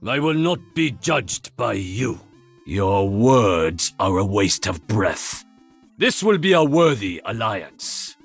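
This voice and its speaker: An actor playing a proud, stern master archer in a video game, with a Japanese accent and a formal, haughty delivery. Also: male voice, lowish voice, big pitch swings, slow pace, gravelly, soft background music, exaggerated voice. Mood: dismissive, proud. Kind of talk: video game character voice lines.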